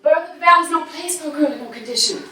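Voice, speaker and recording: A woman's voice: sits high, quick pace, pushed and bright. A woman playing a coaxing, hard-nosed landlady. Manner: coaxing and soothing, turning stern. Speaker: stage actor. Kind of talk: stage play acting. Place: small theater studio. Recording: echoey studio from far off.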